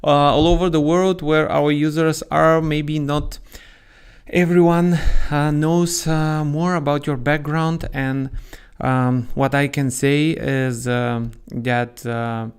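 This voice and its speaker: Guy hosting a live stream, smooth clear voice, slow, bit of uhs and ums. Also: fairly high pitch, flat delivery. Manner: formal welcome, hesitant with filler sounds.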